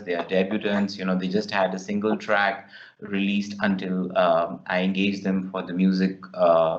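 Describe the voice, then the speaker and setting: A man's voice: on the low side, echoey room, muffled recording. A man with a Pakistani English accent, a film director answering at a festival Q&A in a reflective, rambling way full of fillers. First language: Punjabi. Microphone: video call.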